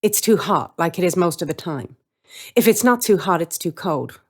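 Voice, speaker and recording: Low-voiced woman telling a streetwise story. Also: lively intonation, moderate pace. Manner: streetwise, wry, colloquial. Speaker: story reader. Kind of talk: first-person scripted narration in character.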